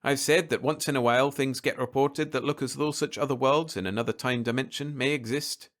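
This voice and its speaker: Male narrator as measured academic man in an audiobook, careful and qualifying every point, slightly lifted pitch. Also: fairly even intonation, fairly bright tone, natural voice. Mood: measured, cautious. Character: sandy-haired man, measured, careful explainer.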